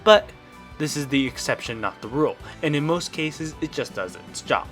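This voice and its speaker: A man reading a scripted review as a video reviewer, in a measured, explanatory and opinionated way. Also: on the high side, expressive, a bit bright, soft background music.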